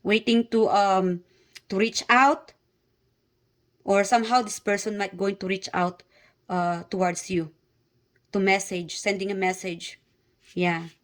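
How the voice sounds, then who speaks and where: A woman with a Filipino accent hosts a tarot reading, talking straight to her audience. Her voice sits at an everyday middle pitch. She speaks haltingly, with frequent fillers and repeated phrases. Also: steady tone. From Philippines. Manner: hesitant, many fillers and repeats, speaks directly to viewers. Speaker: tarot reader. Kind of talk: casual tarot reading to camera.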